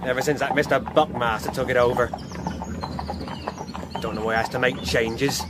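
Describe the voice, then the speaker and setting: Gloomy rural coachman, male actor, radio drama: medium pitch, terse and resentful, noisy horse-drawn ride. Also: fairly even intonation, normal speed, lightly acted. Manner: laconic, dour. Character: gloomy, plain-spoken, resentful Victorian country coachman, a man. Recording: noisy outdoor horse and cart scene.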